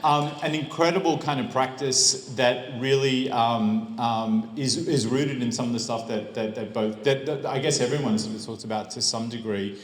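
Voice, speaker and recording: Man, gallery host, halting and filler-heavy, handheld mic in a gallery space. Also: mid-range voice, steady tone. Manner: rambling, halting, many fillers and restarts. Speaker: gallery director hosting an artist talk. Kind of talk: live public conversation. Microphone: handheld microphone.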